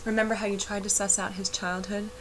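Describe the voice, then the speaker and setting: A woman reciting a poetic spoken-word narration for a video art piece, measured and reflective. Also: mid-range voice, little pitch movement. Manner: measured, reflective, unhurried delivery. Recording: voice-over with clear background noise.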